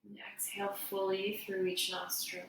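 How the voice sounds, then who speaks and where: A woman giving guided meditation instruction as a yoga teacher, in a gentle, unhurried, soothing way. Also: medium pitch, monotone. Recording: echoey room, distant mic, faint noise.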